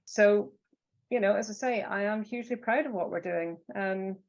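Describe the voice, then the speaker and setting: Halting woman panelist, muffled sound. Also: mid-range voice. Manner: halting, many fillers and restarts. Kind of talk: panel discussion.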